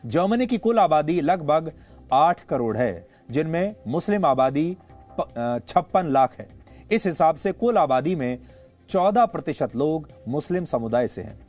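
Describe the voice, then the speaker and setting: A male news anchor with an Indian accent delivering opinionated commentary in a deliberate, emphatic way with rhetorical repetition. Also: fairly high pitch, very animated, a little mellow. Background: background music.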